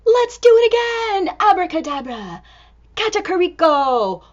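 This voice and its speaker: A woman reading a picture book aloud to young children in an expressive, exclaiming way, drawing out words and dropping into hushed asides. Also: extremely high pitch, measured pace, muffled recording.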